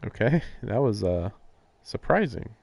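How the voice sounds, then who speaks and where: A man's voice: on the low side, sing-song, measured pace, creaky, darkish tone, no room sound. A man livestreaming a visual novel, casually reading narration aloud and adding sardonic, incredulous asides. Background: game music.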